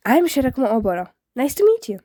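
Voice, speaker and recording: A woman voicing a friendly, excitable teenage boy ghost in a story, with eager, emphatic, casual speech. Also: high voice, fast, no echo, studio quality. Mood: excited, friendly.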